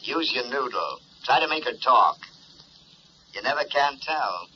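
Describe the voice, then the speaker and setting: Brusque 1940s radio newspaper boss, forceful, medium pitch, faint hiss. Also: male voice, lively intonation, a little slow. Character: bossy, brusque male city newspaper editor. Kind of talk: 1940s radio drama acting. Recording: muffled, lightly noisy old radio recording.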